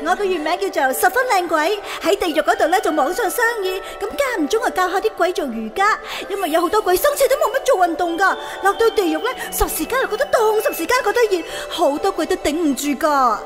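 A woman's voice: very high-pitched, expressive, quick pace, few pauses. A woman playing a bubbly young ghost pop star in a radio comedy drama, girlish and cutesy. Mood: gushing. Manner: cutesy, showy celebrity politeness. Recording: loud music behind the voice.

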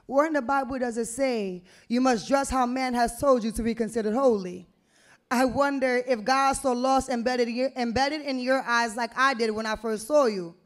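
A young woman performing spoken word poetry into a stage mic, with deliberate, emphatic, rhythmic phrasing. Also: a little high. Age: young adult. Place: small indoor venue stage with brick wall. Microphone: handheld-type vocal mic on a stand, close to the mouth.

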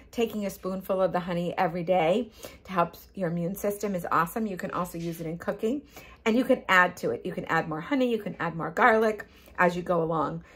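Older woman, low husky voice, friendly kitchen how-to host, phone-filmed at home. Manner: chatty, gestures with hands, frequent ums. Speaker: cooking channel host. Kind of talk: casual how-to explanation. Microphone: phone camera at close range.

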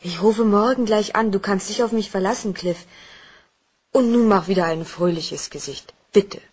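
A woman's voice: mid-range voice, moderate pace, a little mellow, slightly rough, close to an everyday voice. An actress playing a concerned, affectionate woman soothing her troubled partner in a German crime radio play, coaxing in manner.

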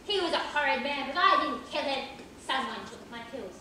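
Echoey, high, sharp-tongued old lady acted by a woman. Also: lively intonation, moderate pace. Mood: tart, accusing. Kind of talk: amateur stage comedy acting. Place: large theatre stage, far camera. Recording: distant echoey theatre, faint noise.

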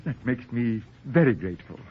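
Sheepish middle-aged dad in a 40s radio play, husky, voice swings around, narrow old recording. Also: male voice, medium pitch, expressive, moderate pace. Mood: warm, grateful. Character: wayward, affable middle-aged father, sheepish and grateful. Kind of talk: 1940s radio acting. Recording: muffled, narrow-band 1940s radio recording.

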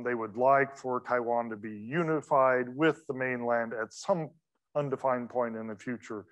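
A middle-aged man giving an academic lecture from a podium microphone in a large wood-paneled hall, talking in a relaxed, conversational way with many fillers and quick asides. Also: medium pitch. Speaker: guest lecturer.

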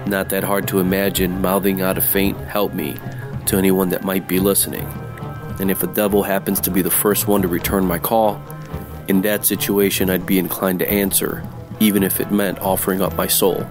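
Low-voiced hardboiled detective played by a man. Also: brisk, over music. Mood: world-weary, sardonic. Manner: deadpan, sardonic. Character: wisecracking hardboiled male 1940s private detective, world-weary. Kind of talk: noir first-person radio narration.

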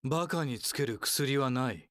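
A man's voice: mid-range voice, moderate pace, a bit bright, dry recording, lightly acted. An actor playing a cold, haughty warlord strategist in an action game, imperious, clipped and full of scorn for his underlings. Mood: cold disdain. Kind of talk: Japanese action game voice acting.

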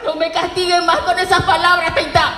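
A woman's voice: very high-pitched, little pitch movement, a little fast, pushed and bright. A woman playing a desperate, pleading outcast daughter in a live radio melodrama. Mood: anguished, pleading. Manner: anguished, pleading melodramatic delivery. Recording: echoey hall with music.

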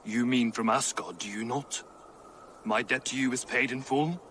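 An actor playing a proud, plain-spoken dwarf craftsman in a video game, speaking with deliberate weight. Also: medium pitch, fairly bright tone, slight background noise, lightly acted. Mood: subdued, grateful. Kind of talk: video game cutscene acting.

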